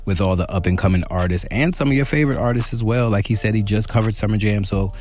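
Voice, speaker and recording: A man's voice: fairly low pitch, quick pace, a little mellow. A man hosting a show, talking casually and directly to his listeners in an outro. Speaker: show host giving an outro. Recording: voice over a music bed. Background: music.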